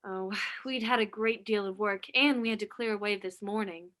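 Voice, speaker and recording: A woman's voice: mid-range voice, fairly even intonation, moderate pace, fairly bright tone, close to an everyday voice. A woman playing an affectionate young working daughter in a radio play.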